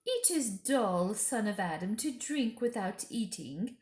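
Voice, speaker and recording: A woman voicing a scheming, falsely sweet evil queen in a storybook reading, coaxing in a slow, regal manner. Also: mid-range voice, animated, a little mellow, lightly acted. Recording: roomy echo.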